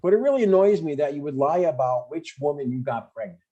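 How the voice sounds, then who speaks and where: An older man acts out a blunt, easily annoyed dad who cares awkwardly. His voice is husky and plainspoken, on a dull lo-fi recording, in a casual comedy read. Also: fairly high pitch, animated, fast talker, mellow. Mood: annoyed, confused. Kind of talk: informal comedy table read.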